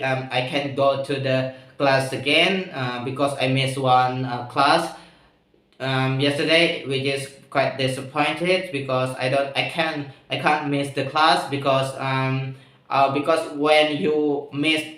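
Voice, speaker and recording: A young man from Indonesia with an Indonesian accent, speaking English as a second language in a casual spoken diary. He talks haltingly, with frequent pauses and restarts. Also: medium pitch, fairly bright tone, echoey room, poor audio. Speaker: student vlogger practicing English.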